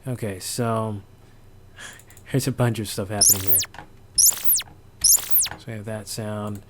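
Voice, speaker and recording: A man's voice: on the low side, steady tone, takes it slowly, very noisy. A man presenting a casual sound design walkthrough, hesitant and thinking aloud as he goes. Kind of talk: casual screen-recorded walkthrough.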